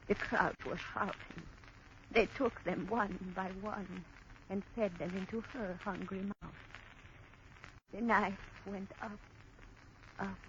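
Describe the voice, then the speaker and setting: A woman's voice: medium pitch, natural voice. An actress playing a cryptic, ominous old woman who speaks in riddles, in a 1940s radio drama. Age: older. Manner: slow, chanting, speaks in riddles. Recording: dull old radio broadcast, faint hiss.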